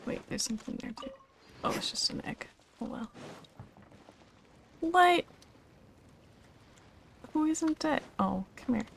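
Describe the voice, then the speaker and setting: Tired gamer girl whispering to herself while she plays, kinda fry-y voice. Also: sits high, expressive, slow pace, pauses often, background noise. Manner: mutters and whispers asides to the game. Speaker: game streamer. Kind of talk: casual gameplay commentary. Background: game music.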